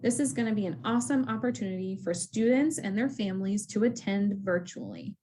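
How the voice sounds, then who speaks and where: A woman's voice: mid-range voice, brisk, mellow, slight background noise. A woman who works at a school walks students through course selection in a friendly, casual way, with frequent ums. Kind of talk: casual informational talk to students.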